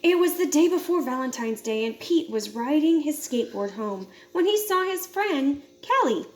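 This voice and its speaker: High-voiced woman reading a children's story. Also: very animated, normal speed. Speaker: storybook reader. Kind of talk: expressive children's read-aloud.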